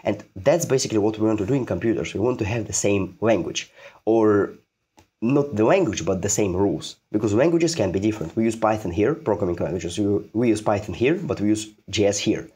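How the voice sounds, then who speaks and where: A man's voice: on the low side, animated, brisk, warm tone, slightly rough. A man giving an informal programming tutorial, repeating phrases for emphasis and posing questions that he answers himself.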